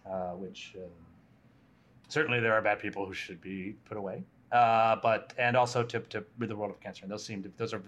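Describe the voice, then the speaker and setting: A man's voice: fairly low pitch, a bit bright. A man hosting a podcast interview at a conference, asking friendly, curious questions. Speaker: podcast host. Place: conference venue.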